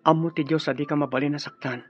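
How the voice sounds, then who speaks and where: A man's voice: fairly high pitch, steady tone, quick pace, music in the background, natural voice. A man playing an earnest, deferential young suitor pleading for peace in a radio drama. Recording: muffled, lo-fi radio drama audio.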